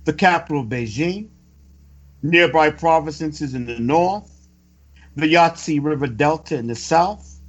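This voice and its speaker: A man reading a scripted news report with preacherly commentary, in a deliberate, emphatic and solemn way. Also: a little high. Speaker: religious report reader and commentator. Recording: dull lo-fi audio with music. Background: background music.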